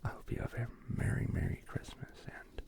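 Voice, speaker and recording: A man hosting a casual, rambling show-and-tell in a soft, gravelly ASMR whisper, with slangy asides. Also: fairly quick. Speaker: host.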